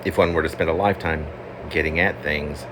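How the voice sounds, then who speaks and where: Really deep guy doing a wry rambly poem reading, kinda halting, noticeable noise behind him. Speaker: poet reading own work. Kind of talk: literary poetry reading, rambling monologue. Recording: clearly noisy, steady background hum.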